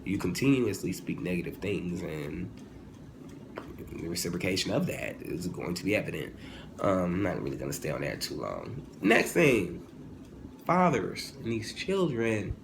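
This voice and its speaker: A man in his twenties giving a casual, humorous opinion monologue as a vlogger, animated and informal, often digressing and correcting himself. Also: sits low, moderate pace. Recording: clearly noisy, ambient background sound.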